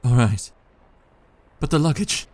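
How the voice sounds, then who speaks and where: Guy playing haunted young victorian husband, gothic audio drama, talks real slow n halting, breathy husky voice, fragile. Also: medium pitch, expressive, darkish tone. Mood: subdued.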